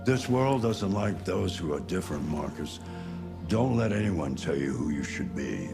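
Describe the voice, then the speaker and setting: Very deep, gravelly old painter. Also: male voice, very animated, exaggerated voice. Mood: tender, grave. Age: older. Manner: slow, reflective, gently commanding. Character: frail old male painter, wise, fatherly, gently insistent. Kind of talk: naturalistic video game acting. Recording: music under the voice.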